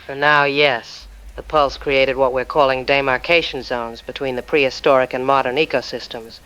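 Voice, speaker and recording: A serious male scientist, played by an actor gives a scripted briefing in an audio drama. He has a mid-pitched, bright and forceful voice, with a clinical, precise way of explaining. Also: steady tone, moderate pace, clear, resonant tone, muffled recording, close to an everyday voice. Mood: clinical, warning. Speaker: scientist, expert guest.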